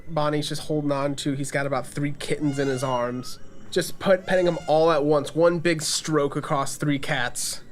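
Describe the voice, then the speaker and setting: A man's voice: fairly high pitch, fairly even intonation, moderate pace. A man running a tabletop game as game master, telling the story in a casual, improvised way.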